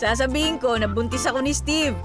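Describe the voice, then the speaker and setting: Mischievous young Filipino woman, fairly high voice acted. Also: brisk, music in the background, natural voice. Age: 20s. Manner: jokey, breezy. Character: mischievous, well-off twenty-year-old female college student. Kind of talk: radio drama acting.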